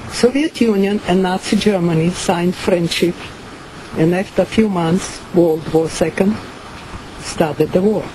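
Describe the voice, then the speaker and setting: An older woman from Ukraine with a Ukrainian accent, giving slow, halting personal testimony full of fillers and pauses. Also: low-pitched, background noise. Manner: many pauses and fillers, non-native phrasing. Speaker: survivor giving a talk.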